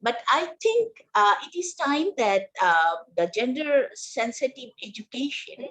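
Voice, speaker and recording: A woman academic from Bangladesh on a video call, her voice a little husky. Her pitch rises and falls widely as she searches for words. She speaks earnestly as a webinar panelist. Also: big pitch swings, measured pace, a bit bright, rough recording. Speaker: academic in media and gender studies.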